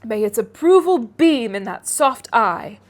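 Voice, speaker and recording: A woman acts out a clever young lady of a classic novel, with a notably high voice. She is smug and self-assured, speaking precisely, with a knowing, condescending manner. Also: expressive, unhurried. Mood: pleased, amused. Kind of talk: classic novel read aloud.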